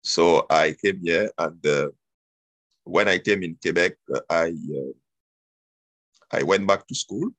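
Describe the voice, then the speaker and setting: A man's voice: low-pitched, slow, pauses often, fairly bright tone, dry recording. A man, telling his story as an interview guest, hesitant with many fillers and restarts. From Cameroon.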